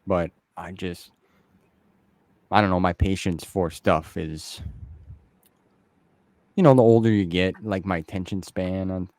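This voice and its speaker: Casual man in his late thirties, lowish voice. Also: fairly even intonation, measured pace, pauses often, darkish tone, no room sound, clean studio sound. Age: late 30s. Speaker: interviewer and show host. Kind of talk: casual interview chat.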